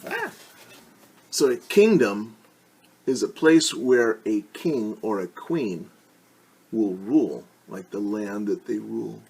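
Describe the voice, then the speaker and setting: Male teacher doing storytime, husky voice, really up and down, explains stuff simply. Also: lowish voice, animated, takes it slowly, long pauses, a little mellow, rough recording. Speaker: teacher reading a children's story. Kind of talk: read-aloud storytelling with casual asides.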